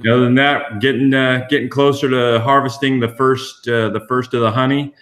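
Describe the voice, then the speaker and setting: Male author guest, medium pitch, casual conversational delivery, slightly halting. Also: level tone, fairly bright tone. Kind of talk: casual chat about own work.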